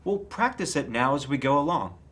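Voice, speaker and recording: A kindly storybook bear, read by a man with a lively, swinging intonation. He is simple and earnest, musing aloud with confidence and a slightly muddled manner. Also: mid-range voice, fairly quick, voice pushed up, close to an everyday voice.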